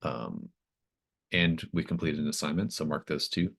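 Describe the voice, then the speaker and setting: Very deep-voiced man running a game. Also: moderate pace, vocal fry, fairly bright tone. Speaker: game master of a tabletop roleplaying session. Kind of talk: casual wrap-up talk with players.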